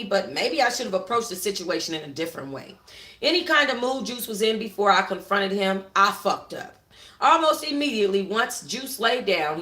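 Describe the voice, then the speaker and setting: A woman's voice: fairly high pitch, bright, forceful tone, reverberant. A woman narrating a first-person story for a book club in a dramatic, sassy, slangy manner.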